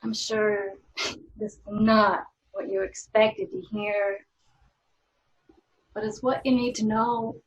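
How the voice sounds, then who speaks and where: A woman reading the part of a stern, formal law office official in a script table read, speaking deliberately with long pauses. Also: medium pitch, little pitch movement, muffled recording, close to an everyday voice. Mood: grave, firm.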